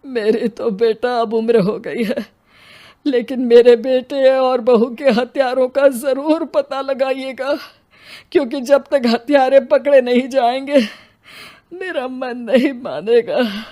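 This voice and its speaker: A heartbroken old village headman , voiced by a woman, speaks high and slow, his words shaking with tears. Each line drags as grief wears him down, in a cartoon horror tale. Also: warm tone. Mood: sorrowful. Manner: slow, sobbing, breaking into weeping. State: crying. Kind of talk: Hindi cartoon voice acting.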